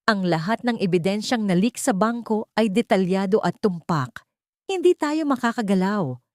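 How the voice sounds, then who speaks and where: Woman acting a weary, frightened man, animated pitch. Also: normal speed, no echo, clean studio sound, lightly acted. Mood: anxious, hopeless. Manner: anxious, pleading. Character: weary, frightened grown man, losing hope. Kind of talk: dramatic audio drama dialogue.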